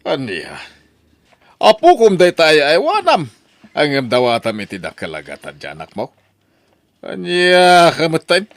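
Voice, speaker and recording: A man's voice: fairly high pitch, huge pitch swings, a little slow, long pauses, no echo, full character voice. A man playing a stern scolding relative. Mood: reproachful. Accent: Ilocano. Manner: reproachful, lecturing. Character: stern middle-aged male relative scolding a mother.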